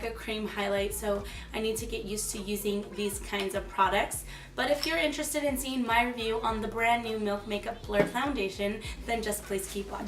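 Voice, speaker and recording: A young woman in her twenties with a hoarse, froggy voice, chatting enthusiastically through a makeup product review. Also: a little high, distant mic. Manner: chatty and enthusiastic, many fillers. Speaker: beauty vlogger. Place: small room, plain wall. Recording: echoey room, slightly off-mic.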